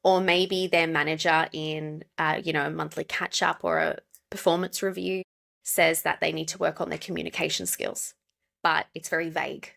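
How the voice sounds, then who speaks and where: An Australian woman, a pronunciation coach, explaining clearly and steadily as a podcast guest. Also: deep voice, level tone, a bit bright. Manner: explanatory, measured. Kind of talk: podcast interview.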